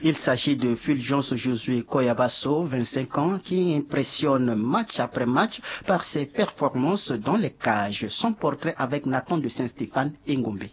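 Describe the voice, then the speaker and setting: A man reading the news on the radio in a measured, formal delivery. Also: medium pitch, breathy edge. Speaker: news presenter. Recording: dull lo-fi radio audio.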